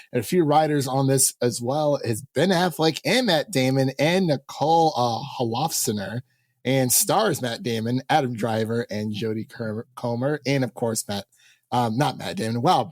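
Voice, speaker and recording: A man's voice: medium pitch, dry recording. A man hosting a film podcast, chatting casually and stumbling over names with a self-deprecating laugh. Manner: laid-back, fumbles names, self-deprecating. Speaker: podcast host.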